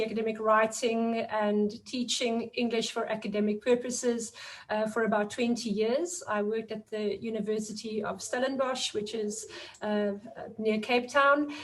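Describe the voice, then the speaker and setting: A woman in her forties, a guest lecturer speaking in a thoughtful, measured way with some fillers. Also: mid-range voice, poor audio. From South Africa. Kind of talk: webinar discussion.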